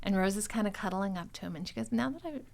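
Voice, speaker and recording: A woman's voice: mid-range voice, fast, gravelly, a little mellow. A woman podcast host chatting casually and expressively, laughing often and acting out bits of dialogue as she retells scenes.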